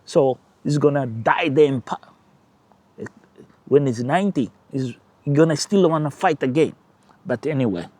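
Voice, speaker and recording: A man with a Portuguese-speaking accent and Portuguese as his first language, hosting his own channel with emphatic, animated political commentary and brief laughs. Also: mid-range voice, moderate pace, pauses often, dry recording. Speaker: channel host.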